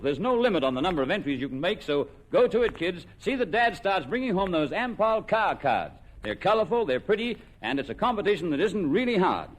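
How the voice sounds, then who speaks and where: Lively Australian radio quiz host, echoey hall. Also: male voice, high voice. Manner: chatty showman, folksy. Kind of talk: old-time radio quiz show banter and sponsor plugs. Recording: vintage radio recording, echoey hall, background noise.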